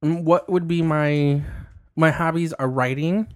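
A man's voice: on the high side, steady tone, measured pace. Chatty young man, laughs a lot. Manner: chatty, laughs often, swears casually. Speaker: podcast guest. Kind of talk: casual chat.

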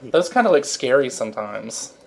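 A man with a middle-pitched voice trades campy, giggly banter on a home podcast. His delivery is drawn out and playful, and a faint hiss of room noise sits under the voice. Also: big pitch swings, normal speed, vocal fry. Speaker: podcast co-host. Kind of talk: casual banter.

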